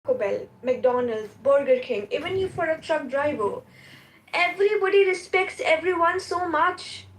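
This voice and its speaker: Woman, twenties, Indian accent, high voice, pleading and earnest, talking personally in an open discussion. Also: lively intonation, moderate pace, slight background noise, low-quality recording. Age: 20s. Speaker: discussion participant, graduate student. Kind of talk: casual, personal debate talk.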